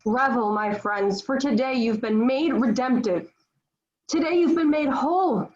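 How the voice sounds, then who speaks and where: A woman plays a forgiving young saint in a stage play read-through. Her voice is fairly high and composed, with formal, lofty phrasing. The online audio is slightly dull. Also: a little slow, darkish tone. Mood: serene exalted. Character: Joan of Arc, serene, forgiving, saintly young woman warrior. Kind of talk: acting in a virtual table read.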